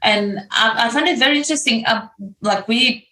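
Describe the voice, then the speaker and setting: A woman hosting a podcast, lively and quick to laugh as she chats with her guest. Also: on the high side, echoey room, rough recording. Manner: lively, laughs, acts out her own reactions. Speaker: podcast host. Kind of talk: scripted intro then casual interview talk.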